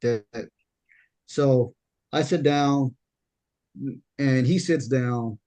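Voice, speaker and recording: Deep-voiced young man, stammering storyteller. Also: drawn-out words, dark tone. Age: young adult. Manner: stammering, repeats words while storytelling. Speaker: podcast host. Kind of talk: casual podcast chat.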